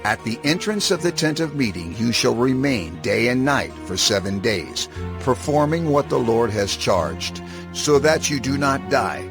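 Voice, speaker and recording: Authoritative biblical leader, creaky gravelly voice, animated intonation, solemn, declamatory, dramatized scripture. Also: male voice, medium pitch, measured pace, music in the background. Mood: solemn, commanding.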